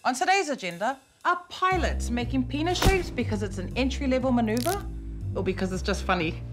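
A young New Zealand woman hosts with a high, bouncy voice that rises and falls freely. Her delivery is cheeky and playful, full of innuendo. Music plays clearly underneath. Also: animated, a little fast. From South Auckland, New Zealand. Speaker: host. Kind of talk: comic presenter narration.

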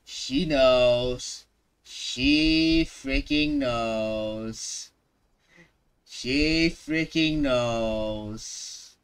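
A man's voice: medium pitch, drawn-out words, smooth. A man streaming live reaction commentary on a game, dramatic and repeating phrases for emphasis. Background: faint game music.

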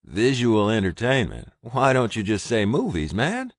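A man's voice: fairly low pitch, wildly swooping pitch, a little slow, dry recording, clean studio sound, exaggerated voice. A man reading the part of a rugged, protective cowboy alpha in an audiobook, folksy and commanding. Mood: teasing.

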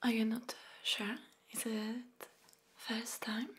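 Very slow, whispering young woman. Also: on the high side, flat delivery. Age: young adult. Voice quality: breathy whisper. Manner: soft, soothing, gentle. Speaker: ASMR creator playing a massage therapist. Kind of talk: whispered ASMR roleplay. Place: small dim room indoors. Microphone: close microphone off camera.

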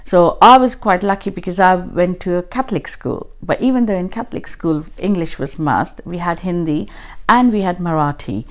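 Chatty Indian woman, lowish voice. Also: normal speed. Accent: Indian, Mumbai. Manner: chatty, frequent uh and um fillers. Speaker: interviewee. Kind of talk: casual interview answers. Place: living room, seated on sofa by window.

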